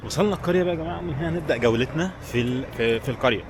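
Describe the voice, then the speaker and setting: A man's voice: on the high side, racing. A man with an Egyptian Arabic accent giving casual, chatty travel commentary straight to his viewers while walking. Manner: chatty, addresses viewers directly. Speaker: travel vlogger. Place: outdoors, walking in streets and a village. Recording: noisy outdoor street and traffic sound.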